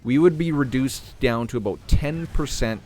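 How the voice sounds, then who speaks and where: A man hosting a gaming channel, talking casually through a game while playing, clearing his throat as he is losing his voice. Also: mid-range voice, normal speed, a little hiss or hum. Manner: relaxed, thinking aloud while playing. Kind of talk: casual gameplay commentary.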